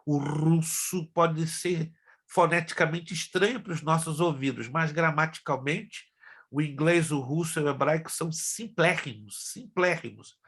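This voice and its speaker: A middle-aged pastor teaching an online class, his voice gravelly and a little husky. He grew up with Hebrew and Spanish as his first languages. He talks in a chatty way and keeps turning to speak straight to the class. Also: on the high side, measured pace, a bit bright. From Brazil. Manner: chatty, addresses class directly.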